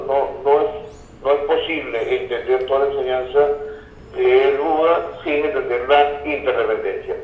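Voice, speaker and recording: A man giving explanatory interview answers as a guest, hesitating and repeating words as he goes. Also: medium pitch. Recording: muffled, distant, echoey remote line, faint noise.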